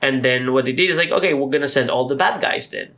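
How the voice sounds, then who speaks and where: A man's voice: mid-range voice, fast talker, a bit bright. A man co-hosting a podcast in a casual chat, rambling with lots of fillers and teasing his co-host.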